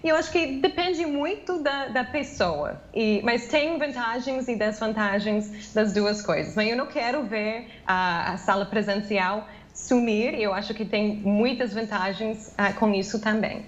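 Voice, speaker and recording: A woman's voice: a little high, measured pace, hardly any pauses, highs cut off. An American woman whose first language is English, speaking from her home office in a remote news interview, chatty, with many fillers and restarts. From United States. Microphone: video call.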